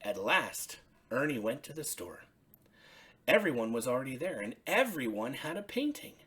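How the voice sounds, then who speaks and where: Woman storyteller, husky, highly animated pitch, deliberate phrasing, children's bedtime story.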